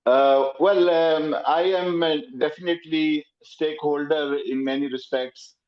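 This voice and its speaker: A man from Pakistan, a playwright, giving remarks at a panel over a remote connection, speaking hesitantly with many pauses and fillers. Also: on the high side, steady tone, highs cut off.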